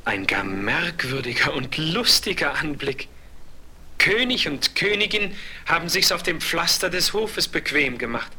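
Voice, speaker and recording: Ardent prince, unhurried and bright. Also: male voice, fairly high pitch, lively intonation, exaggerated voice. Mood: amazed, amused. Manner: theatrical, declamatory, deliberate. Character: ardent, fearless young fairy-tale prince. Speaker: voice actor. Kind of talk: 1970s German fairy-tale radio play acting. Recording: faintly noisy, clicking vinyl record.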